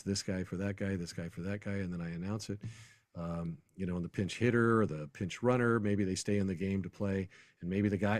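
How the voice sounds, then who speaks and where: A man's voice: sits low, darkish tone, no room sound, clean studio sound. An American man speaking as an announcer guest in a casual sports interview, telling anecdotes in a conversational way.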